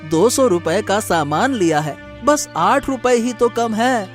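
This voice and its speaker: A man's voice: high voice, slow. A poor cartoon farmer, voiced by a man, meek and friendly. He talks in a hesitant, placating way, with faint music under the voice. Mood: pleading. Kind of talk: Hindi cartoon moral story acting.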